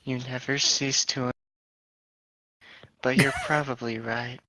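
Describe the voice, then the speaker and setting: A man with a bright, forward voice at a middling pitch, recorded very dry. He reads a story aloud casually as a co-host of a reading show. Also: level tone, slow pace, dry recording, poor audio. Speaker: co-host of a comedic fan fiction reading show.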